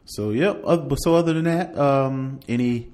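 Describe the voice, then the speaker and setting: A middle-aged American man in casual podcast conversation, co-hosting with a halting, laid-back delivery. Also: mid-range voice, darkish tone. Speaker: podcast co-host. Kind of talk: casual chat.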